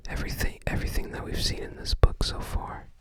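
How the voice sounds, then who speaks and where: Soft whispering ASMR voice. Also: quick pace. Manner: hesitant, rambling recollection in short phrases. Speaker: ASMR creator. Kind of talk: whispered ASMR book browsing.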